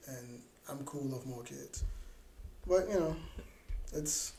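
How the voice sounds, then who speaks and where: Laid-back joking man in his thirties, mellow voice. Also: mid-range voice, slow pace, gravelly. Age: 30s. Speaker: podcast co-host. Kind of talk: casual chat. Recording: echoey room with faint ambient noise.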